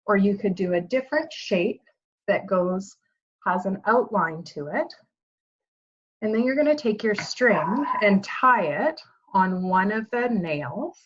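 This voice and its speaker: A woman gently and simply explaining a story and craft activity to families and children. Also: medium pitch, dull audio. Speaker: bereavement coordinator reading to families. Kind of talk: children's read-aloud and activity instructions.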